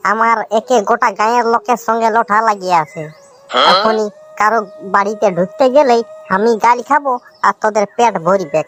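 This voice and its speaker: An actor voicing a penniless, boastful village bachelor in a comedy cartoon, grumbling with comic exaggeration in a rural Purulia Bengali accent. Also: male voice, sits high, fairly bright tone. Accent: rural Purulia, West Bengal. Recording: music behind the voice.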